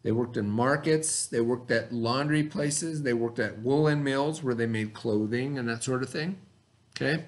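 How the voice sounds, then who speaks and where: A male teacher with a mid-pitched voice leads a read-aloud lesson. He explains things simply and step by step, in the manner of someone checking that pupils follow. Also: normal speed. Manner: explanatory, asks and answers own questions.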